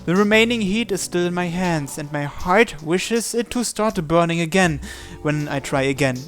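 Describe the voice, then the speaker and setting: Guy with kinda high voice reading visual novel narration, some music behind. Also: animated, normal speed, a bit bright. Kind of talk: scripted narration, first-person story reading.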